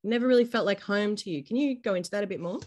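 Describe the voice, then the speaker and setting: A woman's voice: medium pitch, very fast, darkish tone. A woman hosting a podcast interview, who keeps the guest talking with short encouraging replies. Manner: frequent short encouraging backchannels. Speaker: podcast host.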